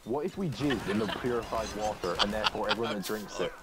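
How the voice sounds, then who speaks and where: A man's voice: fairly low pitch, quick pace, heavy background noise. A man chatting casually over voice chat during an online game, rambling and swearing, often repeating himself. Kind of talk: casual voice chat while gaming.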